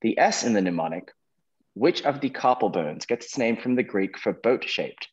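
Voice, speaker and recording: Low, muffled male quiz host. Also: expressive, moderate pace, husky. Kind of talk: reading quiz questions to contestants. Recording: dull lo-fi online call audio.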